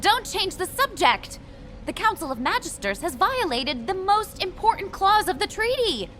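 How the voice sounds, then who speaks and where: A woman's voice: extremely high pitch, big pitch swings, moderate pace, bright, forceful tone, slight background noise, full character voice. A woman playing an indignant alliance officer in a video game, speaking in an emphatic, accusatory way. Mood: indignant, urgent.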